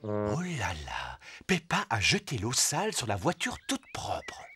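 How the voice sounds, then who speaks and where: A man acting as the friendly storyteller who narrates a preschool cartoon, expressive and clear for small children. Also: mid-range voice, unhurried, no room sound, heavily acted. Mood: dismayed. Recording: music behind the voice.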